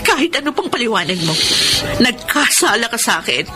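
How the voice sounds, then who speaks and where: A woman's voice: on the high side, big pitch swings, fairly quick. A Filipino actress playing a hurt, trusting young woman betrayed in love, with a tender, dramatic delivery in a radio drama. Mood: hurt, accusing. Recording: music under the voice.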